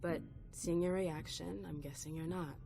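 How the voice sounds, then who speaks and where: An actress playing a guarded, determined vampire envoy in a video game, earnest and direct. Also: female voice, sits low, fairly even intonation, normal speed, gravelly, mellow, a little hiss or hum. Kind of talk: dramatic video game acting.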